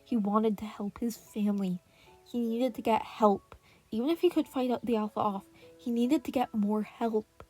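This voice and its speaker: A woman's voice: a little high, normal speed, warm tone. A woman reading scripted fan-fiction narration as a podfic narrator, with relaxing piano music behind her. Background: relaxing piano and birdsong music.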